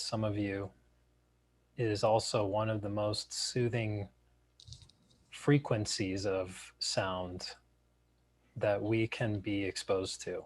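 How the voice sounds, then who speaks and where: A man with a slightly raspy voice, teaching in a halting, reflective way. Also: fairly low pitch. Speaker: session co-host, meditation teacher.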